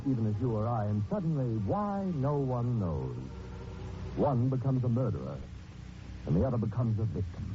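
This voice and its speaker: Male mystery narrator, theatrical, mid-pitched, prominent music behind, dull vintage radio sound. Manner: solemn, theatrical, deliberate emphasis. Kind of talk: 1940s radio dramatic narration. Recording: band-limited 1940s radio, music behind.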